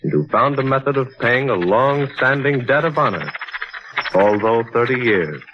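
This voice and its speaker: Male announcer, formal 1940s broadcast delivery, declamatory, muffled sound, steady hiss. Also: mid-range voice. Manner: measured, declamatory. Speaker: radio announcer.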